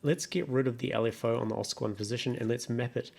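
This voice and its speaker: A man's voice: mid-range voice, fairly even intonation, fast talker, gravelly, noisy background. A man hosting a casual music production tutorial, thinking aloud as he talks through each step in an exploratory way. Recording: electronic music playing behind voice. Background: electronic synth sounds and music.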